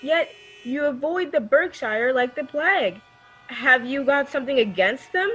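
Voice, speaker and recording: A woman playing an effusive, pushy high-society friend in an old-time radio drama, theatrical and insistent. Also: expressive, measured pace, a bit bright, lo-fi sound, a little hiss or hum. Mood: insistent, coaxing.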